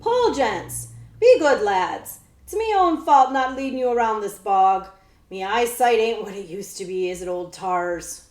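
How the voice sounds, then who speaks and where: A woman's voice: mid-range voice, animated, unhurried, a little mellow, roomy sound, close to an everyday voice. A woman voicing a weary, kindly old coachman with folksy, rustic speech in a children's story. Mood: hearty, coaxing. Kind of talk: children's book read-aloud.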